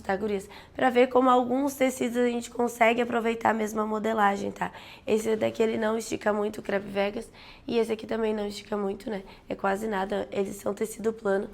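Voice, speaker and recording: A woman, hoarse and losing her voice, hosts a live sewing tutorial, explaining steps and checking in with her viewers. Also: fairly high pitch. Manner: explanatory, with frequent "tá?" and "né?" check-ins. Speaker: sewing instructor and host.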